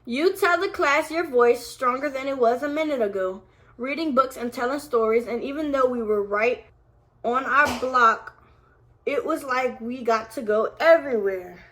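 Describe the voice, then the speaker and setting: A woman's voice: high-pitched, normal speed, a bit bright. A woman reading a picture book aloud to her toddler, with casual asides to the child as she goes.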